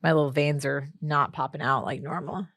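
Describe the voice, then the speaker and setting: A woman co-hosting a podcast, chatty and self-deprecating, with a somewhat deep voice. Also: steady tone, fairly quick, fairly bright tone, no echo. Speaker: podcast co-host. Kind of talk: casual podcast chat.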